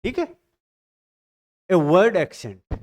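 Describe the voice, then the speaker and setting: A male teacher giving an exam-prep literature lecture, explaining step by step, reading definitions slowly and checking in with his students. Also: high voice, sing-song, darkish tone. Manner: reads English definitions slowly.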